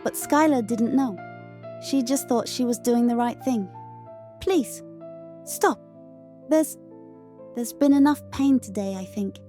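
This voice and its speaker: A woman playing a gentle, remorseful young fighter-plane spirit in an audio drama, speaking softly, earnestly and haltingly. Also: a little high, faint music behind. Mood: pained, calm.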